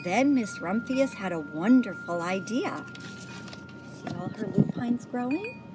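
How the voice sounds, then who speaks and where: Lady reading a kids storybook out loud, really slow and sing-songy, noisy background. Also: medium pitch, very animated. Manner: pauses to point out pictures to young listeners. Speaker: storybook reader. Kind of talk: read-aloud of a children's picture book.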